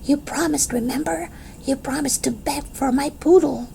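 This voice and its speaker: A woman voicing a pushy, insistent little girl in a storybook, speaking in a hushed whisper. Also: high voice, moderate pace, vocal fry, a little hiss or hum, pitched above the performer's own voice. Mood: insistent, pleading.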